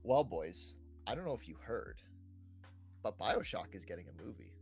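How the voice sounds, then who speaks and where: A man's voice: low-pitched, big pitch swings, moderate pace, creaky, soft background music. A young adult man co-hosting a casual gaming podcast, talking hesitantly, repeating words and often checking for agreement. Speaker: podcast co-host. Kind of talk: casual chat about video games.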